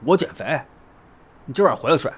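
An actor playing a blunt, grumbling young idol singer in an audio drama, talking colloquially. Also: male voice, medium pitch, lively intonation, brisk, fairly bright tone, slight background noise, natural voice. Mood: casual. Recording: long reverb, music behind.

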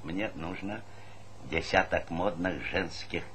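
A man's voice: deep voice, takes it slowly, a bit bright. An actor playing a middle-aged collective farm chairman in a Soviet stage comedy, hearty, fatherly and insistent, haggling and hesitating mid-phrase. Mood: wheedling, insistent. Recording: noisy old 1970s theatre recording.

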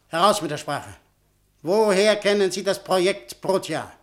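A man's voice: high voice, measured pace, a bit bright. An actor in a radio play playing an imperious, easily angered man of power who blusters and threatens. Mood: agitated, demanding.

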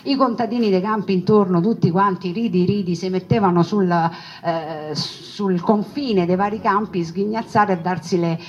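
A woman telling a story as a theatrical monologue, conversational and emphatic, with deliberate repetitions and asides to her listeners. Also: medium pitch, a little mellow. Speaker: storyteller, stage narrator. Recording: dull lo-fi recording with clear background noise.

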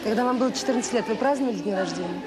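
An actress playing a kind but firm middle-aged director of a children's home in a radio drama, speaking briskly and composedly. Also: female voice, a little high, a loud crowd, close to an everyday voice. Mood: gently persuasive. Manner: brisk, composed, reasoning with rhetorical questions. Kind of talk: Soviet radio drama acting.

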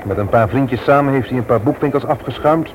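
An actor playing a stern, indignant brother-in-law in a Dutch radio play, emphatic and threatening when crossed. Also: male voice, mid-range voice, brisk, natural voice. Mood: grave, indignant. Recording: very noisy old radio play recording.

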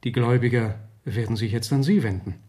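A formal gentleman of the law played by a male actor in a radio play, mid-pitched. He is courteous and sober, setting things out in measured, matter-of-fact sentences. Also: natural voice. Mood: grave, factual. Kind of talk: radio drama acting.

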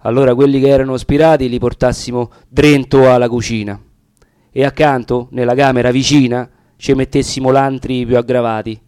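A man's voice: medium pitch, level tone, fairly quick, darkish tone, clear, resonant tone, no echo. Roman man, dry close sound, dramatic recitation. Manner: solemn, theatrical. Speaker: reader of dialect poetry. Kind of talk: dramatic recitation of narrative verse.

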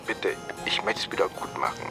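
An actor playing a contrite boyfriend in a German radio drama, speaking in a subdued, breathy, pleading way. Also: male voice, moderate pace, over music, lightly acted.

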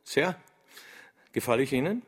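An actor playing an intense, awkward, logical mathematician in a radio drama, speaking deliberately and precisely. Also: male voice, mid-range voice, sing-song, husky. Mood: shy, earnest.

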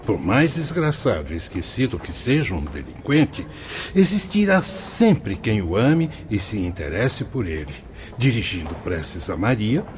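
Solemn Brazilian spirit mentor, animated intonation. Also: male voice, fairly low pitch, unhurried. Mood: solemn, instructive. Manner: formal, didactic, declamatory. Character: kindly spirit mentor, solemn fatherly male guide of souls. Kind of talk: radio drama acting in Brazilian spiritist serial.

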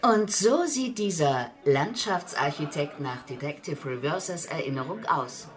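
A woman's voice: low-pitched, animated, unhurried. A woman playing a formal television news interviewer on a crime special in a radio play. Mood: urgent appeal. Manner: formal, presenter-like.

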